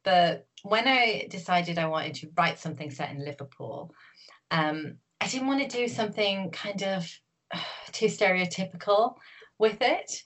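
A woman's voice: mid-range voice, unhurried, fairly bright tone. A woman from Newcastle, an author guest talking casually in an interview, rambling with many fillers and restarts. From Newcastle, England.

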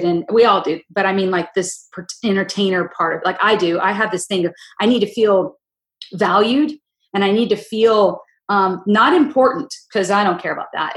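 A woman hosting a podcast in casual chat, with a deep, gruff voice, talking loudly and in long, winding stories. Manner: loud, opinionated, rambling storyteller. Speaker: podcast host. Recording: roomy echo.

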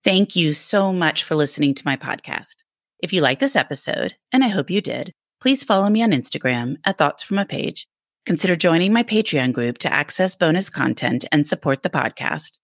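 A woman speaks as a podcast host in a conversational style. Her voice sits a little low and the sound is very dry, with no room around it. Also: animated, moderate pace, dry recording. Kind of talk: casual podcast chat and sign-off.

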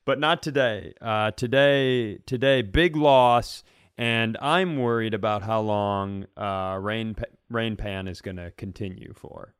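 A man with a smooth, clear voice in a close, dry recording, chatting as a comedian and podcast co-host. He tells everyday stories with sarcasm and self-mockery, swearing casually along the way. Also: on the low side, slow, fairly bright tone, no echo. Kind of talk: casual comedic chat and storytelling.

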